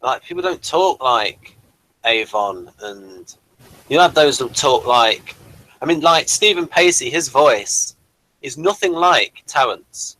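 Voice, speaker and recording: English bloke explaining stuff casually, bright sharp voice, stop-start delivery, very dry sound. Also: male voice, mid-range voice, lively intonation, slow pace, no room sound, poor audio. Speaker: podcast reviewer. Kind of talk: casual discussion.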